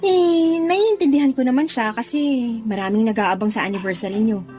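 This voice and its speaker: An actress playing a supportive, nosy young best friend, chatty and coaxing in a radio drama. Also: female voice, a little high, normal speed, darkish tone, lightly acted. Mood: coaxing, curious. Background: background music.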